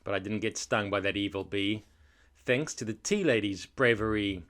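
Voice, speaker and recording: A man reading a rhyming children's story aloud in an expressive, dramatic manner. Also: on the low side, moderate pace. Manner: animated, dramatic delivery of rhymed story. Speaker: storybook reader. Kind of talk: expressive children's read-aloud with casual asides.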